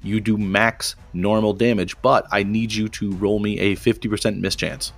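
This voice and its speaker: A man's voice: on the low side, steady tone, gravelly. A man acting as game master, calling rolls and rulings in a tabletop game. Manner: rules-focused, quick to adjudicate.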